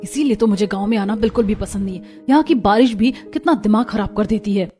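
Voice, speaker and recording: A woman's voice: mid-range voice, quick pace, warm tone, soft background music, natural voice. A woman voicing a peevish young city-bred daughter-in-law in a Hindi cartoon story, complaining and pleading. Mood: annoyed.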